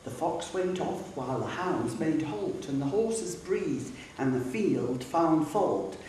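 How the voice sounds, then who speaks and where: A woman giving a dramatic recital of narrative poetry in a hall, with measured, deliberate phrasing. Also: low-pitched, animated, mellow. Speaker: poetry reader. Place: public hall. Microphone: distant microphone. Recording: very echoey hall, distant mic, faint hiss.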